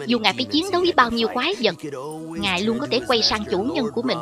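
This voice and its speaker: A woman with a highish voice reads cartoon dialogue as a voice-over. Loud music plays behind her. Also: sing-song, fast talker. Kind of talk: scripted reading of cartoon dialogue.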